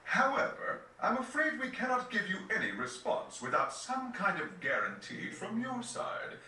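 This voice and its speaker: Game villain's aide: courteous, insinuating, animated pitch, echoey distant sound. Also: male voice, normal speed, a little hiss or hum, heavily acted. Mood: sly, courteous. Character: smooth, sly, obedient aide to a villain. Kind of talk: dramatic video game cutscene acting. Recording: echoey, distant, faint music.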